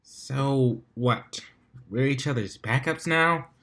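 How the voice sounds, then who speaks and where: A man's voice: medium pitch, slow, a bit bright. A man voicing a jaded female pony athlete with a dry, casual manner in a story reading. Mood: resigned, wry. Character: droll, jaded female pony team captain.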